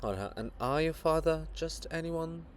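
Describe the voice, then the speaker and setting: A man's voice: fairly high pitch, lively intonation, normal speed, voice pushed up, lightly acted. A man voicing a proud, cold princess monument, guarded and grandiose, in a solemn, declamatory dramatic reading.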